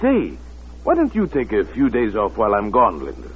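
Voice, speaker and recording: An actor playing a genial, solicitous company boss in 1940s radio drama style. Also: male voice, fairly low pitch, huge pitch swings, moderate pace. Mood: genial, breezy. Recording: dull, faintly noisy vintage radio recording.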